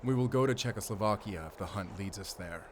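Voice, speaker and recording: An actor playing a stern, secretive werewolf hunter with a German accent, giving terse, grave orders in a cinematic audio drama. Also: male voice, fairly low pitch, a little fast, a little hiss or hum, lightly acted. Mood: grave, hushed, wary.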